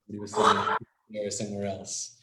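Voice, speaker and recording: A man's voice: on the low side, monotone, brisk, heavy background noise. A man moderating a casual live question session, talking in a hesitant, rambling, conversational way over a video call. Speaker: host and moderator. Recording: roomy, echoing video-call audio.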